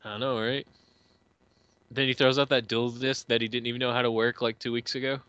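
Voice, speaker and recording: A man's voice: medium pitch, flat delivery, fairly quick, a bit bright, smooth, no echo, lo-fi sound. A young man chatting casually and jokingly as co-host of a fan movie commentary, slipping into mock quoting voices.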